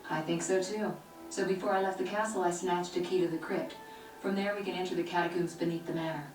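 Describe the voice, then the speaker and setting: An actress playing a confident, composed sorceress in a video game, speaking with assurance. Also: female voice, fairly low pitch, fairly even intonation, quick pace, lightly acted. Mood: determined. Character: confident, loyal female sorceress, composed and brave. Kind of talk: video game cutscene acting. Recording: echoey game audio, distant, faint music.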